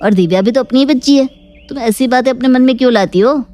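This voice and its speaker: A woman voicing a calm, fair-minded middle-aged spouse, soothing and gently reproving, in a cartoon story. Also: high voice, fast, soft background music, pitched above the performer's own voice, natural voice. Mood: reassuring.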